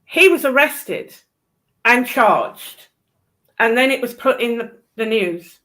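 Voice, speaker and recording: Blunt British woman, unhurried and opinionated. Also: a little high, lively intonation, sharp tone. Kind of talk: casual chat, opinionated commentary.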